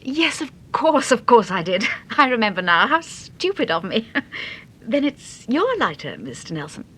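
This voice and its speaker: Polite, lively-pitched detective's wife. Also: female voice, normal speed, husky, heavily acted. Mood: flustered. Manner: polite, hesitant, delicately curious. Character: polite, curious detective's wife, gently flustered. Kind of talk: British radio detective drama acting. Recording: noisy old radio recording, low rumble.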